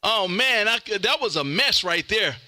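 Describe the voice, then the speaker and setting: A man's voice: high-pitched, lively intonation, normal speed, heavy background noise. An American man hosting an amateur radio show, chatting on air in an exuberant, folksy, emphatic way. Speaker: ham radio show host.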